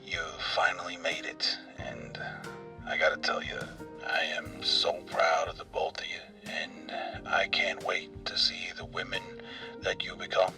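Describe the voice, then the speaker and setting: An actor playing a proud, tender father in a radio drama, speaking in a hushed way. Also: deep voice, level tone, measured pace, in a whisper, far from the mic, over music. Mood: tender pride.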